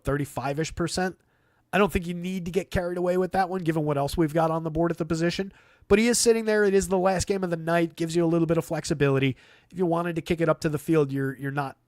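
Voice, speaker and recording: A man's voice: fairly high pitch, no echo. A man hosting a live fantasy basketball stream, talking through analysis off the cuff in a conversational, dry way. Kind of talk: live unscripted sports analysis with chat replies.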